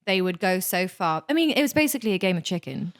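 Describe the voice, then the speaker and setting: A woman's voice: fairly low pitch, big pitch swings, fast. A young woman co-hosting a podcast, chatting casually with her husband in a playful, teasing way. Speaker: podcast co-host. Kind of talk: casual chat.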